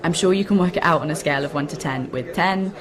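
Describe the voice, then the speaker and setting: A woman's voice: low-pitched, fast talker, crowd noise, close to an everyday voice. A woman playing a self-assured, insistent young woman in a comic radio play, with a precise, methodical way of questioning. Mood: coolly insistent. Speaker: actor in a short comedy play. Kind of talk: comic two-hander radio drama dialogue.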